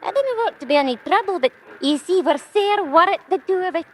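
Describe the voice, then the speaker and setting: A woman's voice: very high voice, expressive, fairly quick, a little hiss or hum. A woman playing a fussy, anxious old lady with a Scottish accent in a radio drama, chattering and repeating herself. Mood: fussy, apologetic.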